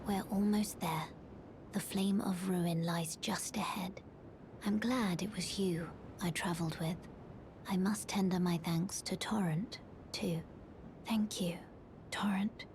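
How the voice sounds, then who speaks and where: Over a loud rumbling background, a young maiden guide speaks in a soft, breathy, lowish voice. She is solemn, mysterious and quietly resolute, pacing her formal fantasy-game lines very slowly. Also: fairly even intonation, noisy background. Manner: measured, solemn, restrained.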